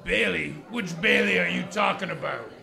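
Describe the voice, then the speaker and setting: Man as raging husband, gravelly, harsh and bright, radio play. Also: high voice, lively intonation, normal speed, faint music behind, full character voice. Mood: furious. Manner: belligerent, shouting. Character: angry working-class husband, brawler.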